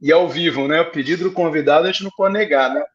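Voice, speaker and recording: An Argentine man hosting a live interview stream in a friendly, easygoing way. His voice is high for a man, and the audio sounds muffled and lo-fi. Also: fast. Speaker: host. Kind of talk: casual live interview chat.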